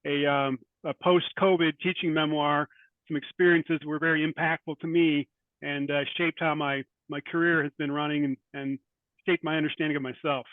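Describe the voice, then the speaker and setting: Male interview guest, writer. Slightly high voice, close dry sound, thoughtful stop-and-start delivery. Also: level tone, dry recording, narrow, tinny audio. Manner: thoughtful, halting, repeats words. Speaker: guest, short fiction writer. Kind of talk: interview conversation.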